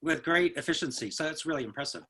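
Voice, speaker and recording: A man with a husky voice giving casual feedback after a play reading. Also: on the high side, animated, quick pace, sharp tone.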